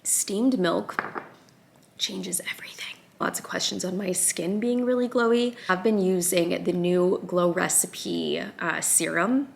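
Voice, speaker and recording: A woman in her thirties, slightly raspy and half awake, chatting in a casual home vlog, upbeat and full of "like" fillers. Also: fairly low pitch, a little slow, a little hiss or hum. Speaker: lifestyle vlogger.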